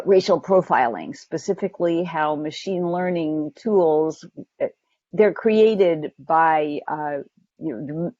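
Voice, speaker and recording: Mellow steady panel speaker, dry lo-fi. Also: medium pitch, no room sound. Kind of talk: panel discussion. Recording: dry, dull lo-fi audio.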